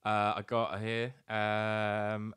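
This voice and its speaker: A man's voice: fairly low pitch, monotone, extremely slow, a bit bright, clear, resonant tone, no echo, clean studio sound. A British man co-hosting a podcast, chatting casually and telling rumours in an informal, sweary, joking way. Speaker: podcast co-host.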